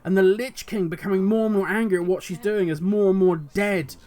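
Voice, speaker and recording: A man with a notably high voice, his throat worn and going hoarse. He rambles passionately through his thoughts, musing aloud as the host of his own commentary video. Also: steady tone, a little fast, dark tone. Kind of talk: solo commentary on game lore.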